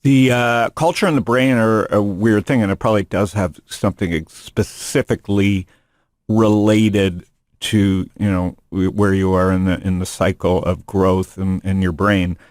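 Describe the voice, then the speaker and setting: A man's voice: low-pitched, fairly even intonation, unhurried, a little mellow, no echo, clean studio sound. A man hosting a podcast in casual chat, rambling and joking, often restarting his sentences. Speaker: podcast host. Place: studio room.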